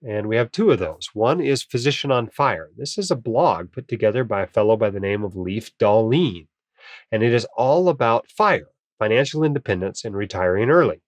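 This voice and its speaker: A man's voice: fairly low pitch, lively intonation, normal speed, dry recording. A male podcast host with a cold that makes him sound a bit nasal, chatting in an upbeat, casual way with frequent "uh" fillers. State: has a cold, slightly nasal. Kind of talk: casual podcast monologue and interview intro.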